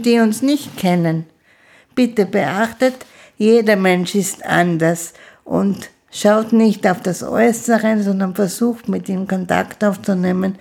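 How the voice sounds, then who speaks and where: A woman in her fifties, a guest in an interview, with a gravelly, creaky voice at a middle pitch. She speaks slowly and haltingly and often restarts her sentences. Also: darkish tone. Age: 50s. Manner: slow, halting speech with restarts. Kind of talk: casual conversation.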